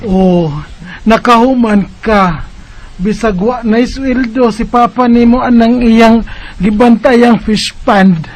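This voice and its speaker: Casting: female actor for fond middle-aged mum, unhurried delivery, Filipino Bisaya accent, radio drama, slightly noisy recording. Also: fairly high pitch, darkish tone. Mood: proud, fond. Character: supportive mother, middle-aged, fond of her son.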